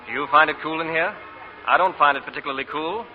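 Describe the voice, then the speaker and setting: An actor playing a proud, unbending gentleman, formal and commanding, in 1940s radio drama style. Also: on the high side, quick pace, close to an everyday voice. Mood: menacing politeness. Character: stern, icily courteous Southern gentleman, proud and unbending. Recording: muffled 1940 radio broadcast, music behind.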